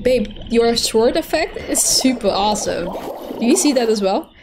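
A woman's voice: fairly high pitch, moderate pace. Chatty Dutch woman streaming. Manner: chatty, reads chat aloud and teases her partner. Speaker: streamer and co-host. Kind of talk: casual live-stream commentary. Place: indoor room at a desk. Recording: steady background noise.

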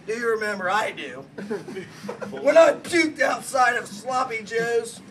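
A middle-aged man giving a rowdy comic wedding toast from cards, shouting and laughing through it, recorded on a distant phone in a crowded party room. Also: extremely high pitch, expressive, unhurried. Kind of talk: comic roast-style toast read from cards. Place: indoor party venue, crowded. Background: party crowd chatter and laughter.

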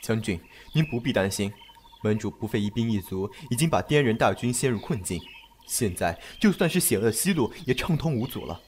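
An actor playing a loyal, composed subordinate officer in a period audio drama, respectful and formal. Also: male voice, lowish voice, expressive, brisk, slight background noise, close to an everyday voice. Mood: reassuring.

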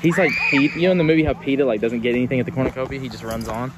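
A man filming a camp game on an open field, giving chatty, excited running commentary. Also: medium pitch, racing, low-quality recording. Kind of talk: casual play-by-play commentary. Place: open grassy field outdoors. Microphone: phone camera held by the filmer.

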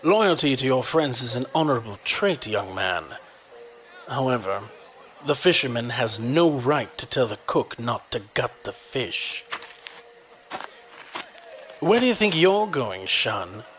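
An actor playing a wealthy, coolly menacing creditor, formal and measured, in an audio drama. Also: male voice, mid-range voice, sing-song, a bit bright, slight background noise, poor audio. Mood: smug menace. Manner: formal, measured, proverb-quoting. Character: wealthy, punctilious crime-boss creditor, man, coolly menacing.

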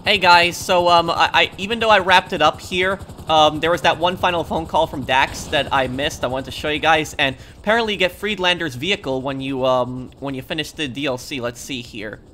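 A man giving casual gameplay commentary in a hesitant, halting way full of ums. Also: on the high side, sharp tone, a little hiss or hum. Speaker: gamer, video host.

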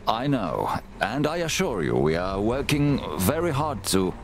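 Stern army commander, wildly animated. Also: male voice, medium pitch, measured pace, loud music behind the voice. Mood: measured, defensive. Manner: formal, indignant, authoritative. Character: stern, dutiful male army commander, indignant loyalist. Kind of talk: dramatic video game voice acting.

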